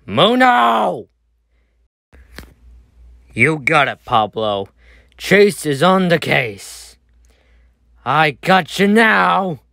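A man's voice: high-pitched, creaky, sharp tone, no echo, studio quality. A man acting out voice lines as a menacing, gleeful cartoon police dog, theatrical and taunting. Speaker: amateur voice performer. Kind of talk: acted cartoon villain voice lines.

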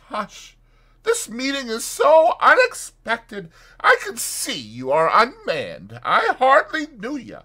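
High-pitched, flustered old gentleman doctor. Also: exaggerated voice. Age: older. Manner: smooth-talking, condescending, nervously placating.

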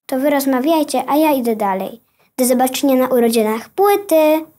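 Bold little girl, seven, for kids' audio play, female actor: inquisitive, childlike, high lively voice. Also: normal speed. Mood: curious, friendly. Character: curious, bold seven-year-old girl. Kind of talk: children's audio drama acting.

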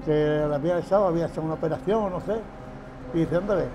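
A man's voice: fairly high pitch, very fast, low-quality recording. An older man, a former patient, answering interview questions in plain, repetitive speech with frequent chuckles, outdoors on a patio. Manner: plain-spoken, repeats phrases, chuckles often. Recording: music bed underneath. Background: background music.

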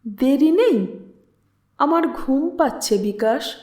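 A woman's voice: sits high, lively intonation, takes it slowly, mellow, echoey room. A woman voicing a feverish, drowsy young woman in an audio story, dreamy, childlike and plaintively questioning. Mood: drowsy, clinging.

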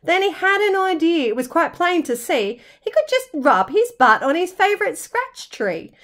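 A woman reading a rhyming children's storybook aloud in an expressive, dramatic, sing-song way, like a teacher. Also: very high voice, normal speed. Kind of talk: children's storybook narration in rhyme.

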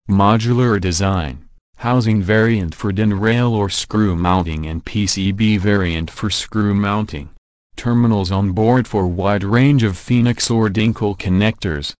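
A man with a lowish voice narrates a technical product walkthrough. He reads specs and terminal lists one after another in an even, list-like cadence. The recording is close and dry, with no room sound. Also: dry recording. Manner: flat list-like delivery of specs. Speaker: channel narrator.

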